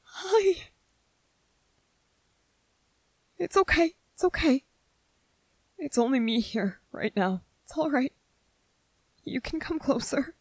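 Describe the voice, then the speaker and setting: A woman playing an anxious, gentle, earnest young male soldier in a soft-spoken ASMR drama, speaking haltingly. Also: high voice, very animated, dry recording, exaggerated voice. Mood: soft, coaxing. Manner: hesitant, halting, repeating phrases.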